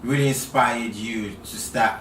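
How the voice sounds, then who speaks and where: A man's voice: medium pitch, fairly even intonation, unhurried, distant mic. A man hosts a casual podcast, teasing and joking between questions. He sits a little away from the mic in an echoey room. A faint hiss is heard. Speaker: podcast host. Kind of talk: casual interview.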